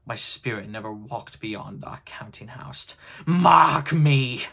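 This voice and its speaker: A man's voice: lowish voice, fairly even intonation, gravelly, sharp tone, breathy edge, heavily acted. A man voicing the mournful, remorseful ghost of a dead businessman in a solemn, declamatory storybook reading. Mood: weary, sorrowful. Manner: declamatory, solemn, drawn-out wailing.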